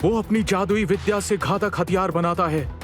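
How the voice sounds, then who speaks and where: Male audio-story narrator, high voice, scripted read, light music bed. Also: moderate pace.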